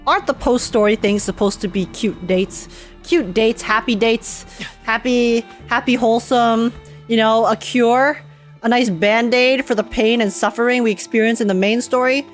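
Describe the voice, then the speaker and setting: A young woman giving casual, slangy and expressive game reaction commentary as a streamer. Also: a little high, moderate pace, soft background music.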